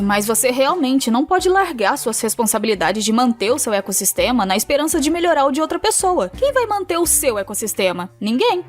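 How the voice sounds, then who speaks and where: A Brazilian woman narrating an animated storytime video in a casual, colloquial, expressive way. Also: sits high. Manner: colloquial, acts out quotes and dialogue. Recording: faint background music. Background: music.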